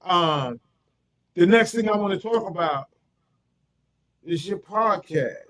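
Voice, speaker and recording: High-voiced man hosting, animated. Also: slow. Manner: animated, lots of fillers and affirmations. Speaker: host, radio personality. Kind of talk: casual interview hosting.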